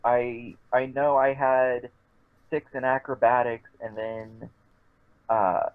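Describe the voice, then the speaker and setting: A man's voice: medium pitch, level tone, slow. A man chatting casually as a player in a tabletop game, heard over thin, phone-like online call audio. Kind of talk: casual chat during a game.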